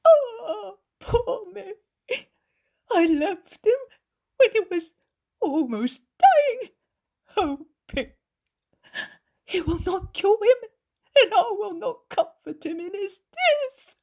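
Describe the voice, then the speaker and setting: A woman's voice: extremely high pitch, big pitch swings, slow, long pauses, darkish tone, pitched above the performer's own voice, exaggerated voice. A woman playing a sobbing, desperate mother. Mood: weeping. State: crying. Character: desperate mother of a dying son, weeping.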